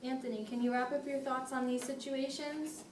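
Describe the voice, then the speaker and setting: A woman playing a patient, firm family therapist, speaking in a measured, formal way in an amateur role-play. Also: high-pitched, steady tone, close to an everyday voice. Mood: calm, directing. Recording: noisy echoey room, distant mic.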